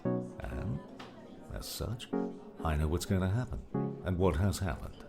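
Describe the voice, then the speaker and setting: A man voicing a gruff, laid-back older man with a gravelly voice and a teasing, fatherly manner in an audiobook. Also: deep voice, very animated, unhurried, loud music behind the voice, full character voice. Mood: explaining. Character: gruff, casual older grey-haired man, wry and fatherly. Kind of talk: audiobook character dialogue.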